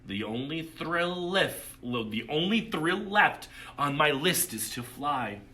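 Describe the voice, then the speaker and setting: Elderly storybook great-aunt, played by a man, raised pitch, bright, theatrical, big-hearted encourager. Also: animated, slow pace, exaggerated voice. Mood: wistful. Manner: emphatic, theatrical. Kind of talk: children's storybook character voice.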